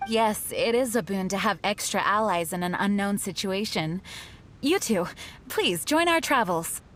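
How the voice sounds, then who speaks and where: A woman's voice: mid-range voice, lively intonation, unhurried, fairly bright tone, slight background noise. A woman playing a poised, earnest leader in a video game, speaking formally and courteously. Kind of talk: video game cutscene acting.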